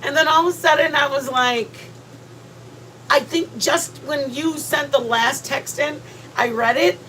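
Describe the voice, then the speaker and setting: A woman's voice: high-pitched, measured pace, a bit bright, slight background noise, rough recording. A woman hosting a livestream from home, sounding congested and rambling chattily with many restarts. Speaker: livestream host. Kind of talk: casual livestream chat. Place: at home, near the kitchen.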